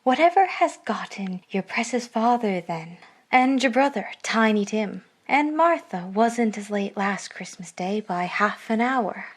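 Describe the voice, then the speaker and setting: A woman playing a warm, fussing working-class mother in a classic radio drama. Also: mid-range voice, lively intonation, a little slow, hardly any pauses. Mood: fretting.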